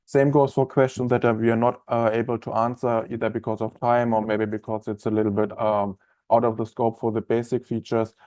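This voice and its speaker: A man in his thirties with a German accent, chatting as a trainer in a live online software webinar, with many fillers and restarts. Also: medium pitch, flat delivery, brisk, dry recording. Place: office room, indoors.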